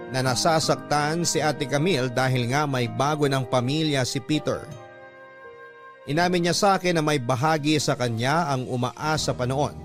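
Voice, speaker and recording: Male narrator, slightly higher pitch, earnest radio-drama letter reading, strong music bed. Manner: earnest storytelling, reading a woman's letter in first person. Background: background music.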